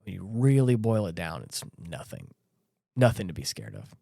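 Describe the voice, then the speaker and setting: A man's voice: deep voice, wildly swooping pitch, moderate pace, vocal fry, warm tone, no echo, clean studio sound. A man hosting a podcast in casual discussion, speaking earnestly and emphatically and repeating himself to stress his points. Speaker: podcast host.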